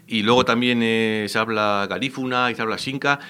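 A man's voice: medium pitch, fairly even intonation, fairly quick, bright, forceful tone, clean tone. A man hosting a radio interview, speaking with frequent hesitations and fillers. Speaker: radio show host.

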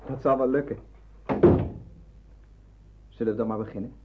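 A man's voice: medium pitch, steady tone, moderate pace, narrow, tinny audio, natural voice. An actor playing a cheerful, confident young man in wartime hiding in a radio drama. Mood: jovial. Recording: thin, noisy old radio recording.

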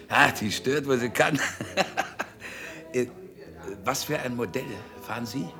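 An actor playing a jovial, tactless, flirtatious society guest in a German radio drama, repeating himself in small talk. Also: male voice, medium pitch, slow, over music. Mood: jovial, patronizing. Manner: chuckling small talk, repeats his phrases.

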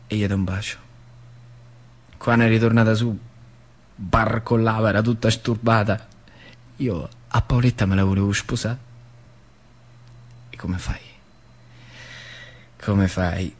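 A man with a central Italian accent from Lazio performing a theatrical dialect monologue as an old factory worker recalling his past, colloquial and fond of repeating phrases. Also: mid-range voice. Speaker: stage actor.

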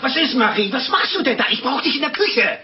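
Brusque innkeeper, very high-pitched voice. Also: male voice, sing-song, fairly quick, heavily acted. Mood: impatient. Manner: brusque, commanding. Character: gruff, suspicious innkeeper husband. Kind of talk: 1950s German radio drama acting. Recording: dull, noisy old radio recording.

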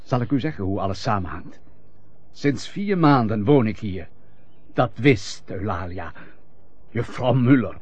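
Husky, bitter betrayed husband. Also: male voice, on the low side, expressive, unhurried, long pauses, darkish tone. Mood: suspicious. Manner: formal, bitter, theatrical. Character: bitter, proud, wounded betrayed husband, stubbornly unforgiving. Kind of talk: classic European radio drama acting. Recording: dull lo-fi old radio recording.

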